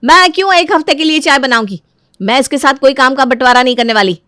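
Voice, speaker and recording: Woman doing fed up older bahu in a story, highish voice, sarcastic n stubborn. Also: fast, pushed and bright, no echo, clean studio sound. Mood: resentful, stubborn. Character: grumbling, resentful elder daughter-in-law. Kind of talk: dramatized Hindi moral story.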